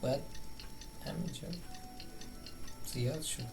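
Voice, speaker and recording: Fast-talking man streaming, loud music behind. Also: mid-range voice, gravelly. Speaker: game streamer. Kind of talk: casual muttered commentary while playing.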